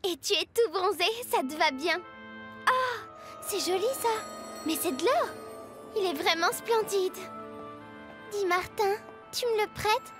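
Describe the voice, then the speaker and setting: A sweet, curious little girl in a French cartoon. Her very high voice is lively and sing-song, over clear music. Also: a little slow, pauses often, full character voice. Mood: admiring. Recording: music under the voice.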